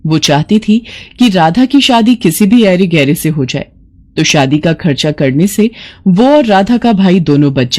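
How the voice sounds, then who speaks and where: A woman's voice: fairly low pitch, darkish tone. A woman reading scripted narration for a moral storybook tale.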